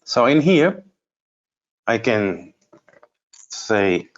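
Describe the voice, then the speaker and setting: A man giving an unscripted software demonstration as a trainer, explaining step by step and thinking aloud in a halting way. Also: lowish voice, sing-song, muffled recording. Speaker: trainer presenting a product course.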